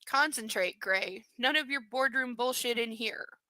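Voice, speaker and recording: Woman reading as a cocky male fight trainer, taunting, coarse, high-ish voice, dry sound. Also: unhurried, pushed and bright, no room sound, rough recording.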